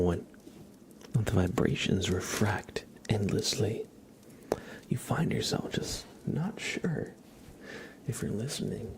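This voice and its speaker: A man whispering and speaking softly and breathily as an ASMR livestream host, gentle and halting, with frequent pauses. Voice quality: breathy whisper mixed with low soft voice. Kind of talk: whispered ASMR, part role play, part casual chat.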